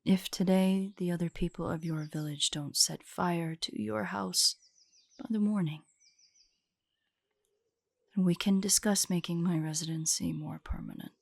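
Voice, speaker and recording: Woman doing an aloof monster spider lady for audio roleplay, lowish husky voice, formal and guarded but secretly fond, close dry sound. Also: fairly even intonation, dry recording. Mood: stern, conceding. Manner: formal, measured, haughty. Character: aloof, guarded female drider, ancient, reluctantly fond. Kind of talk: intimate audio roleplay acting.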